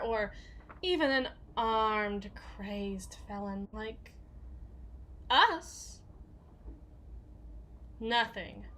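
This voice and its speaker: A woman's voice: fairly high pitch, a bit bright, a little hiss or hum. A woman playing a tough, foul-mouthed, guarded bodyguard for a villain in an ASMR roleplay, with a sardonic, slangy delivery. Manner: sardonic, swearing, casual slangy drawl. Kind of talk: ASMR roleplay, acted monologue.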